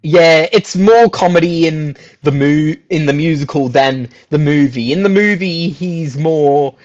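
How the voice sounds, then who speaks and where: A man's voice: fairly high pitch, a little slow, a little mellow. A man chatting casually as an interview guest about his own experiences. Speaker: guest, musical theatre performer. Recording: dull, lo-fi sound.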